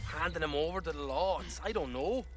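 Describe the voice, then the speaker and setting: A man's voice: fairly high pitch, animated, fairly quick, lightly acted. An actor playing an aggressive, jumpy outlaw gang henchman in a western game. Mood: uneasy. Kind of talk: dramatic western game cutscene acting. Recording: music behind the voice.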